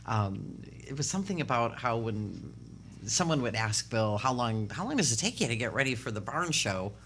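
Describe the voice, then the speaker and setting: Woman host, very low and forceful voice, playful quick reactions, faint noise behind. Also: sing-song. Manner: chatty, quick to react and joke. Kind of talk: casual chat.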